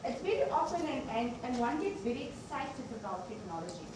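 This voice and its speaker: A woman's voice: high-pitched, big pitch swings, brisk. Woman speaking unmiked in echoey hall. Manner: earnest, restarts phrases, emphatic questions. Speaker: university deputy vice chancellor opening a colloquium. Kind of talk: unscripted opening address. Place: lecture venue. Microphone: no microphone, speaking unamplified. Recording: echoey, distant room recording with noise.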